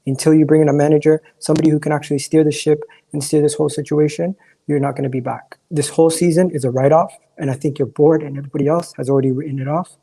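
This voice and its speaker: A hoarse man calling in to a fan livestream, talking candidly and rambling, with many restarts and a throat that keeps giving out. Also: a little high, steady tone, very fast, warm tone. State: hoarse, coughing. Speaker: caller. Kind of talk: casual chat on a fan livestream.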